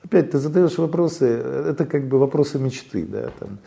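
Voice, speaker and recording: A man from Belarus, talking haltingly as an interview guest, with many fillers and restarts. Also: medium pitch, lively intonation, gravelly, warm tone. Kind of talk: casual interview talk.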